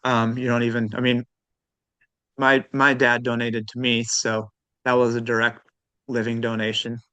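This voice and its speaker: A man's voice: mid-range voice, little pitch movement, normal speed, pushed and bright, no echo. A man speaking as an interview guest in casual, unscripted conversation, hesitant and thoughtful as he hedges his points. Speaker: interview guest, transplant recipient.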